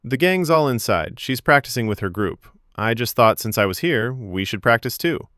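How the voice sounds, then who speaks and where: A man voicing a casual, apologetic young love interest in a romance story, with a gentle way of talking. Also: medium pitch, animated, normal speed, no echo, clean studio sound, natural voice.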